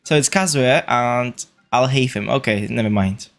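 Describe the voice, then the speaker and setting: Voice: mid-range voice, moderate pace. A video game streamer giving casual, off-the-cuff livestream commentary, muttering reactions to the game.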